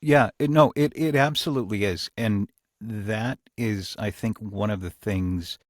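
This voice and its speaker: Man, deep voice, slow pace, reflective podcast talk, thoughtful, searches for words. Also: no room sound, clean studio sound. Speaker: audio drama writer and creator.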